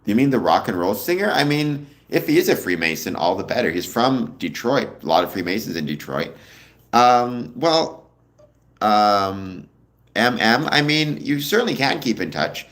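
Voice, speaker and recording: A young man hosting a casual livestream, answering viewer comments in a relaxed, rambling way with a deep voice. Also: moderate pace. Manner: relaxed, rambling, many ums, answers chat questions. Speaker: livestream host.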